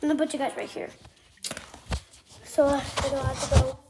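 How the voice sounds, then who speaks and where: High-pitched child vlogger, noisy recording. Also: flat delivery, fairly quick. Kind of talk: casual rambling vlog.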